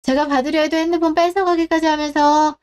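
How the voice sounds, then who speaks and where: A woman's voice: high voice, level tone, a little fast, a little mellow, natural voice. A woman in her thirties voicing a scheming daughter-in-law who sounds mocking and condescending behind polite words. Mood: smug, mocking. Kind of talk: radio drama acting.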